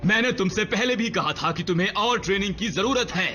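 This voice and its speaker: An actor playing a stern, fussy robot doctor in a cartoon dub, brisk and given to scolding. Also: male voice, high voice, animated, pushed and bright, faint music behind. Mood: exasperated.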